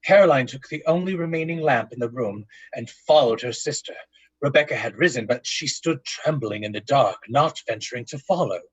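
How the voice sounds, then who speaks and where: A man serves as narrator of a scripted old-time radio drama. His mid-pitched voice is husky and breathy, delivered dramatically in a dry recording. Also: expressive, normal speed, dry recording, rough recording.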